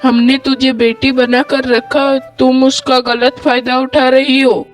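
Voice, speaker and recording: A woman's voice: high voice, level tone, takes it slowly. A woman voicing a kind, worried old mother in a cartoon story, with a pleading, motherly manner. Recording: music bed under the voice.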